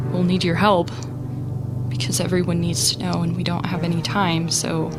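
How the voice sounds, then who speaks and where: A woman playing a scared young student captain in an audio drama, self-doubting but determined, speaking in halting bursts. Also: on the low side, close to an everyday voice. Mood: panicked, scared. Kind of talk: audio drama monologue as a recorded message.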